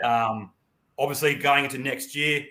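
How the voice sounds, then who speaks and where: A man's voice: mid-range voice, steady tone, normal speed, sharp tone. An Australian man chatting casually and informally as a co-host on a live online stream. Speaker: co-host, member of a property team. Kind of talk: casual chat. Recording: roomy echo.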